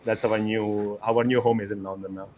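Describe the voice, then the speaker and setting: An Italian-accented young man talks about his startup in a loose, halting way, stopping and restarting his phrases. His voice sits at a middle pitch. A faint hiss of noise runs behind him. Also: level tone, a little mellow. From Italy. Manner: hesitant, many restarts and fillers. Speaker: startup co-founder. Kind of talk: conversational interview.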